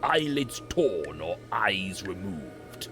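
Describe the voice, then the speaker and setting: An actor playing a domineering male pastor who speaks in a grave, commanding and threatening way, with a gravelly voice. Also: low-pitched, very animated, slow, music in the background, full character voice. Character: domineering male pastor of a grim city chapel.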